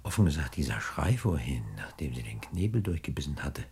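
An actor playing a fussy, courteous gentleman crook in a 1960s German radio play, with flowery, hesitant politeness. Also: a bass voice, big pitch swings, brisk, husky, exaggerated voice. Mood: relieved, pleased. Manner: flowery, over-polite, hesitant, repeats himself.

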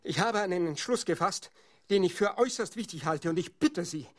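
An actor playing a solemn, aggrieved gentleman in a radio play, speaking in stilted, formal oratory. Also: high-pitched, lively intonation, fairly quick. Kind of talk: German radio play acting.

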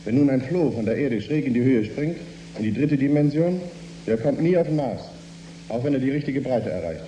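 An actor playing a matter-of-fact spaceship navigator who explains things precisely in a radio drama. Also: male voice, mid-range voice, expressive, brisk, dark tone, lo-fi sound, close to an everyday voice. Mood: explanatory. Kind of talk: German radio drama acting. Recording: roomy studio with faint hum.

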